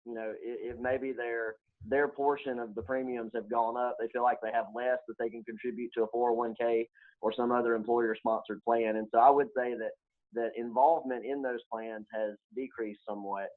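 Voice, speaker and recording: A man's voice: medium pitch, flat delivery, normal speed. A man giving explanatory interview answers as a financial expert. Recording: narrow, phone-like sound.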